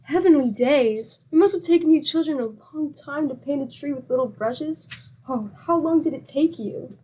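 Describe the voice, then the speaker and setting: An actress playing a patient, good-humored wife with a teasing, exclamatory manner in a 1940s-style radio comedy. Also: female voice, high-pitched, moderate pace, warm tone, lightly acted.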